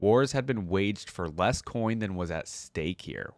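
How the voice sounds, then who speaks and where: Low-voiced man reacting casually, close and dry. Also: animated, unhurried, dry recording. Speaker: reaction video host. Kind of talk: casual commentary and story read-aloud.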